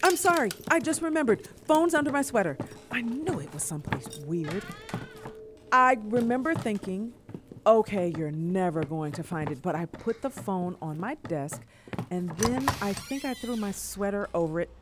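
An actress plays a young woman in an audio drama, anxious and quick to defend herself. Her talk rambles casually and sarcastically, with very lively intonation at a middling pitch. There is faint background noise. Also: close to an everyday voice. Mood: flustered, accusing. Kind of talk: naturalistic audio drama acting.

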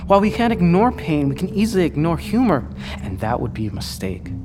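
An actor playing a serene, playful space-colony monk in an audio drama, speaking in a lofty, philosophical and teasing way. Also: male voice, on the high side, big pitch swings, a little fast, background noise. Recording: long hall reverb, music underneath.

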